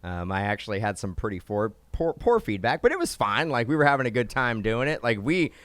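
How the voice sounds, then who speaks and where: A man's voice: mid-range voice, sing-song, brisk, fairly bright tone, no room sound. A man co-hosting a podcast in a studio, rambling and restarting, filling his talk with "like" and "um". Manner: rambling, restarts often, lots of "like" and "um". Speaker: podcast co-host. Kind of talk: casual hobby podcast chat.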